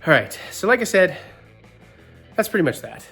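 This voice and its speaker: A man's voice: sits high, sing-song, moderate pace, fairly bright tone, slightly rough, faint music behind. A man with a stuffy head cold, talking casually and rambling on his vlog, often laughing. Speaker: vlogger.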